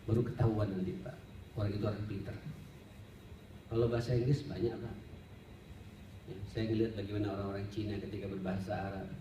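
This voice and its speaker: Echoey room, faint noise, male Islamic preacher, mellow tone, didactic, conversational sermon delivery. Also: on the low side, level tone, fast, pauses often, lo-fi sound. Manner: conversational and didactic, often addresses listeners directly, rhetorical questions. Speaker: Islamic preacher, religious teacher. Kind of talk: sermon, study-circle lecture with Arabic recitation. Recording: roomy, distant mic, faint background noise.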